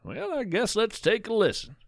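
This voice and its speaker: A man playing a folksy, kindly, eccentric cryptid naturalist in an audio fiction podcast, telling things in a whimsical, gentle way. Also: sits high, big pitch swings, creaky, slight background noise, heavily acted. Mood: mildly curious. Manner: whimsical, gentle storytelling with drawn-out phrases. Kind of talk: scripted audio fiction monologue.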